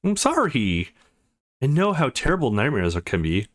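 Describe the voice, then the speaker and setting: A man voicing a clingy, emotional young woman with a quick temper in a visual novel read-through. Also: mid-range voice, huge pitch swings. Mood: apologetic, anxious. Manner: emotional, pleading, easily indignant.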